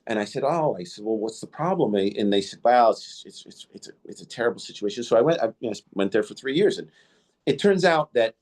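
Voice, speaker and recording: A man's voice: mid-range voice, animated, fast, darkish tone. A man hosting a podcast interview, whose first language was Indo-Greek, telling stories in a rambling way with many fillers and restarts. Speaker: podcast host. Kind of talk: casual interview storytelling.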